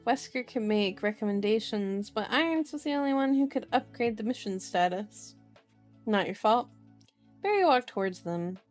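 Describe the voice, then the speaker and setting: A woman's voice: medium pitch, darkish tone. A woman streamer doing an ASMR read-aloud of a book, breaking off for casual, playful, silly chat. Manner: playful, silly asides and baby-talk.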